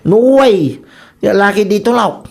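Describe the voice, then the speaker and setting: A woman acting an excitable, teasing spouse eager for a son in a radio comedy drama. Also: medium pitch, a little slow, gravelly. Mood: boastful, eager.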